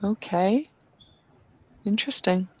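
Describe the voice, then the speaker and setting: A woman's voice: medium pitch, slow pace, husky. A woman casually narrating her character in a tabletop roleplay, hesitant and full of fillers.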